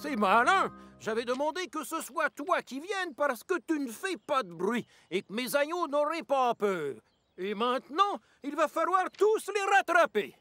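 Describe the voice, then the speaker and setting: A man voicing a gruff, excitable sheep farmer in a children's cartoon. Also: very high-pitched, measured pace, faint music behind, exaggerated voice. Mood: angry. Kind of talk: French-language children's cartoon voice acting.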